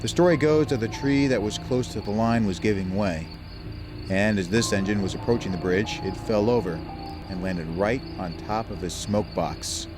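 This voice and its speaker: Man playing a low-voiced, scheming locomotive storyteller. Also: moderate pace, close to an everyday voice. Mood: ominous. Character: scheming, smug male engine, spinning ghost tales. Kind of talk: audio drama character acting. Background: music, cricket and insect night sounds.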